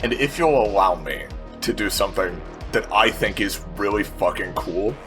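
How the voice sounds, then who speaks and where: A man's voice: fairly low pitch, expressive, moderate pace, music in the background. A man chatting informally as a player in a tabletop game, swearing and repeating himself as he reasons aloud. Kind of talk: casual game-table chat.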